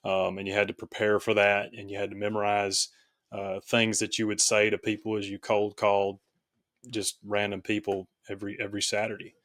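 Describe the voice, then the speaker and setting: A man from the United States speaking as a podcast guest, explaining things in a conversational, halting, reflective way. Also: on the low side, monotone, a bit bright, dry recording, studio quality. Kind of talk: conversational interview.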